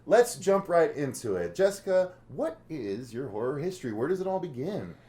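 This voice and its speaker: A man's voice: on the high side, sing-song, normal speed, dark tone, roomy sound. A young adult man hosting a podcast in casual, joking chat, often cutting in with questions. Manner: upbeat, joking, interrupts often. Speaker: podcast host.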